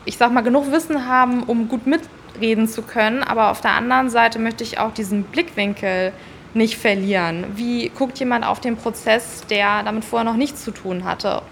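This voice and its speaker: A woman's voice: on the high side, moderate pace, vocal fry, a bit bright, slight background noise. A woman giving spontaneous, explanatory answers as an interview guest. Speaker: interviewee, volunteer citizen member of an advisory body.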